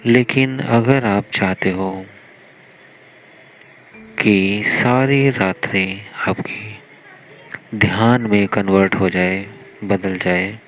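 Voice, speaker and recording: Meditation guide, male, Indian accent. Low register, very slow delivery with long pauses, over music. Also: steady tone, a bit bright, poor audio. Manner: measured, instructional, with long pauses between short phrases.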